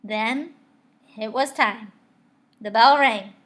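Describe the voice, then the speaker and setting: A woman teacher reading a picture book aloud, expressive, pausing to put questions and asides to her young listeners. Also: fairly high pitch. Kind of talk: read-aloud storytelling with asides to children.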